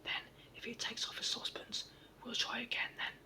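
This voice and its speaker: A reader whispering the part of an eager, quick-thinking male brownie in a storybook. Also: distant mic, lightly acted.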